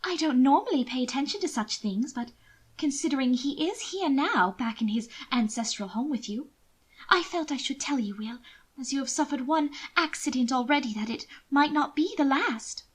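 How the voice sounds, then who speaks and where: A woman's voice: sits high, lively intonation, normal speed, voice pushed up. A woman voicing an anxious, sly young genteel lady in an audiobook, speaking in an urgent, coaxing, dramatic way. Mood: grave warning. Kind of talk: audiobook character dialogue.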